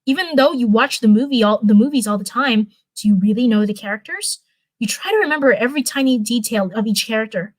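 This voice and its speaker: A woman's voice: on the high side, expressive, quick pace, no room sound. A woman reading a story aloud as narration, now and then stumbling over a word and going back over it. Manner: stumbles over words and rereads them. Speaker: live stream host reading fan fiction.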